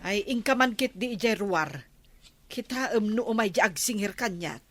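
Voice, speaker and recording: A woman's voice: mid-range voice, animated, moderate pace, a bit bright, slight background noise, natural voice. An actress playing a nervous, gossipy village woman with an Ilocano accent in a radio comedy drama, chatty and exclamatory. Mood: nagging.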